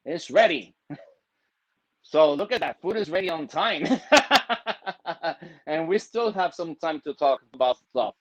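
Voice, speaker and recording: A man's voice: fairly high pitch, expressive, a little slow, pauses often, no echo, muffled recording. A man raised in Puerto Rico, cooking in his home kitchen during a live video-call stream, chatting with many fillers and laughing often. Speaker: cooking show guest chef.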